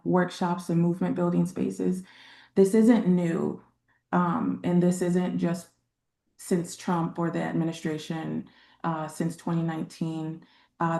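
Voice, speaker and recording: A woman from Texas, a community organizer speaking on a webinar panel in a halting way, with many fillers and pauses. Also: lowish voice, vocal fry, a little mellow, roomy sound. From San Antonio, Texas.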